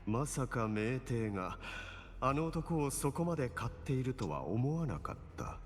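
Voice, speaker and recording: Game villain played by a man: smug, theatrical cleric with mid-pitched, very animated delivery, composed mockery, big hall echo. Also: fairly quick, lightly acted. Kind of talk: Japanese game voice acting. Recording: long hall reverb, game music behind. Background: game music.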